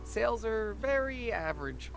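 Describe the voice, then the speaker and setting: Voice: medium pitch, very animated, normal speed, vocal fry, faint music behind. An actor voicing an eager, polite drink seller in a game, anxious to please.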